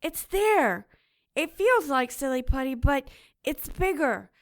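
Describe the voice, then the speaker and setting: A woman playing a frightened, insistent little orphan girl in an audio drama, childlike, earnest and halting. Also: high voice, very animated, full character voice.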